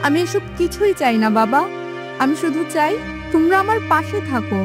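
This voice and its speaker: A woman's voice: high voice, animated, moderate pace, over music. A woman voicing a hurt young girl in a fairytale cartoon, pleading and earnest. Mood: pleading, sad. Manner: plaintive, earnest. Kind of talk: melodramatic fairytale cartoon acting.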